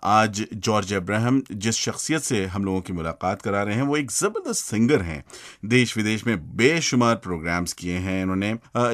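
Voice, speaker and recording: A man's voice: medium pitch, a bit bright. A man presenting a scripted radio show as co-host.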